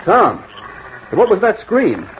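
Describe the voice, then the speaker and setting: An actor playing a naive, timid young bridegroom, hesitant and anxious, in an old radio drama style. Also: male voice, high-pitched, wildly swooping pitch, slow pace, exaggerated voice. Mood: nervous, hesitant. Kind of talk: 1940s radio acting. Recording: muffled, noisy old radio broadcast.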